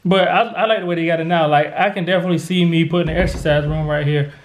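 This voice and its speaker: A man's voice: on the high side, fairly even intonation, fast talker. An American man, around his thirties, giving a casual, chatty house tour as a family vlogger on a handheld camera. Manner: informal, chatty. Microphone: handheld vlog camera at arm's length. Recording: handheld camera with clear background noise.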